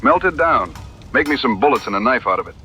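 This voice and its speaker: An actor playing a steady, plain-spoken frontier hunter in an audio western, with measured folksy phrasing. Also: male voice, mid-range voice, soft background music. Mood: resolute. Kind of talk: dramatized audio western dialogue.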